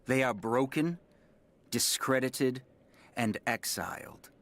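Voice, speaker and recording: An actor playing a solemn, loyal warrior in a video game, addressing his lord in a formal, measured, declamatory way. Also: mid-range voice, a bit bright. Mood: solemn, insistent. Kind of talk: video game voice acting, dramatic monologue.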